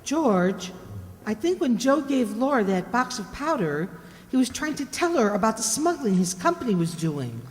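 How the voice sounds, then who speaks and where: An actress playing a detective's quick-witted, playful female sidekick in an old-time radio drama, expressive and pert. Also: on the high side, big-room sound. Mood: curious.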